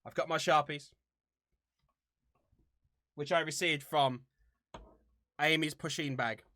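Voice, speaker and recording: A man's voice: on the high side, fairly even intonation, takes it slowly, pushed and bright. A man of about thirty chatting casually to viewers as a channel host, in a playful, theatrically mock-serious way. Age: around 30.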